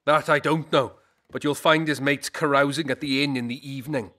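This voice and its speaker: Man acting a bright-voiced, sly village trader. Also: medium pitch, steady tone, brisk, close to an everyday voice. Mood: boastful, haggling. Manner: folksy, shrewd, haggling. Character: sly, folksy male village fur and trap trader. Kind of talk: video game character acting.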